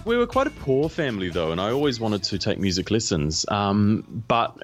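A man's voice: fairly low pitch, sing-song, normal speed, soft background music. A man from New Zealand, a singer-songwriter, chatting casually in an interview, rambling and correcting himself as he goes. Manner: rambling, self-correcting, laughs often.

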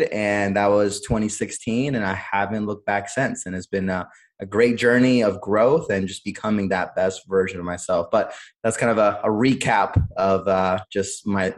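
A man in his thirties from Cleveland, born in Honduras, telling his life story as a podcast guest in an earnest way, with many "uh"s and repeated words. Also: lowish voice. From born in Honduras, raised in Cleveland, Ohio. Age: 30s. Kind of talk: candid conversational storytelling.

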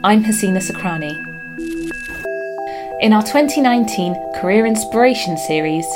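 Woman host introducing over loud music. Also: medium pitch. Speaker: podcast host. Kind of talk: scripted podcast introduction.

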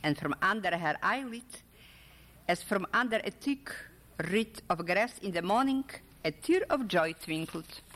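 A woman reading literary prose aloud with a dramatic, measured storytelling delivery. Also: medium pitch, animated, a bit bright, slight background noise. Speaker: author or reader at a literary event. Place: large hall at a public literary event. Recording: echoey hall with long reverb.

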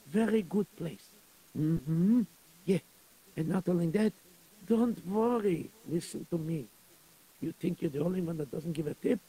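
A gossipy, pious synagogue caretaker, played by a man, who sighs and fusses. His voice is raised yet dark, with a gravelly, husky grain. He keeps sighing over his neighbors' business in a radio-play manner. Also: high voice, rough recording. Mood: reassuring.

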